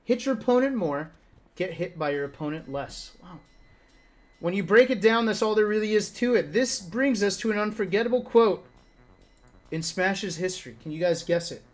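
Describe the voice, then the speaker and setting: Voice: medium pitch, lively intonation, moderate pace. A streamer reading a book aloud in a casual way, breaking off for wry asides and talking directly to viewers. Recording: music playing behind the voice. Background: background music.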